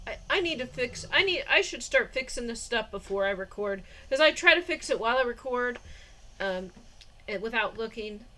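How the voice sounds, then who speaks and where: A woman in her forties rambles through a casual home vlog, her voice sitting fairly high, stopping for fillers and bursts of laughter. She talks into a phone or camera mic indoors. A faint background hiss runs under the recording. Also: fairly bright tone, low-quality recording. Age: 40s. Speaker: vlogger.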